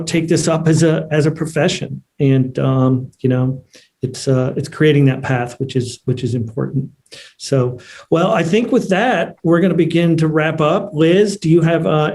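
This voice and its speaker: Brief: male moderator, mid-pitched and dark in tone, talking informally as he hosts. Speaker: host, moderator.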